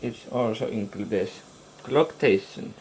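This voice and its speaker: A man informally presenting a radio hobby demonstration, talking haltingly in short phrases with long gaps. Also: mid-range voice, a little mellow, a little hiss or hum, rough recording.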